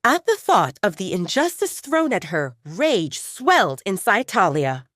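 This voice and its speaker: A woman's voice: medium pitch, big pitch swings, measured pace, a bit bright, dry recording, studio quality. A woman narrator telling a story in a dramatic audiobook style.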